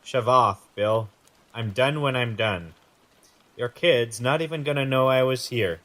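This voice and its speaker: A man acting a troubled, defensive, self-pitying brother in an audio drama, speaking in halting, broken phrases. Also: mid-range voice, a bit bright, close to an everyday voice.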